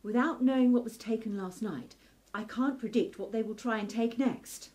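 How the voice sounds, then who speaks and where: A woman's voice: fairly high pitch, moderate pace, a little mellow, lightly acted. A woman playing a clever, earnest young lady researcher in a steampunk radio play, speaking precisely. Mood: analytical. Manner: precise, articulate, explanatory.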